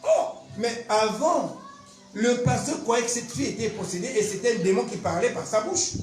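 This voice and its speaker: A man, a pastor giving an animated, emphatic testimony and teaching with repetitive storytelling. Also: high-pitched. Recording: roomy echo, faint music behind.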